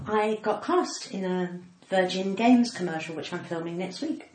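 A woman's voice: on the low side, moderate pace, a loud crowd. A woman with a cut-glass English accent and crystal diction, chatting as a cheeky, theatrical comedian guest in a bantering interview. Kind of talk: casual bantering interview chat. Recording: echoey room with background noise.

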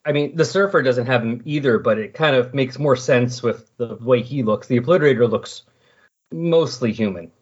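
Man, podcast host, husky voice, conversational, explanatory, slightly muffled lo-fi recording. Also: medium pitch, normal speed. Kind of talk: casual comic-book recap discussion. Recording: dull, lo-fi voice recording.

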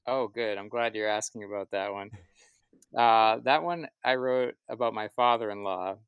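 A man talking casually as an interview guest, a musician telling stories in a halting, reflective way and often repeating his words as he goes. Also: fairly low pitch, clean tone, no room sound, highs cut off. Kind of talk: casual interview answers, storytelling.

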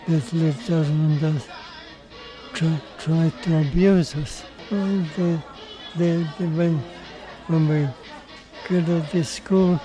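An older man, telling his life story in an interview in a halting way, with many restarts and repeated words. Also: on the high side, dark tone, poor audio. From Mexico, raised in Hungary. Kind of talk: recorded interview.

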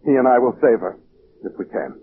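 A man's voice: mid-range voice, big pitch swings, fairly quick, slight background noise. A man acting an anguished, sensitive lovelorn diarist in an old-time radio drama, with earnest, dramatic delivery. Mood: grave, desperate. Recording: thin, phone-like vintage radio recording, music behind.

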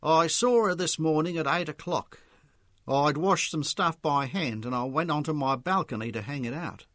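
A man voicing a motherly older Australian woman, a sad and gentle neighbour in a story. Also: medium pitch, normal speed, dry recording, clean studio sound. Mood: motherly, wistful. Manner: wistful, gentle, reluctant.

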